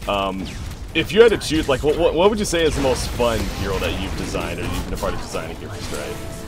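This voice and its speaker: A man hosting a casual stream interview, excitable and stumbling over his words. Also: deep voice. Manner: fast, excitable, stumbling restarts. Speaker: stream host. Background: game music.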